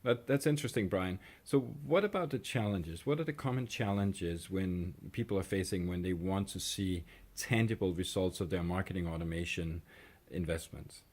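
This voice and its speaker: A man's voice: low-pitched, normal speed, a little mellow. A man hosting an interview, introducing his guest casually with a light joke and fillers. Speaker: host, interviewer.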